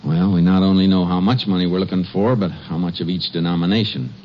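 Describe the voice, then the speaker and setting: An actor playing a calm, firm Texas Ranger questioning suspects in a 1950s radio drama. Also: male voice, low voice, fast talker, lightly acted. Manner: steady, questioning. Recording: muffled old radio broadcast.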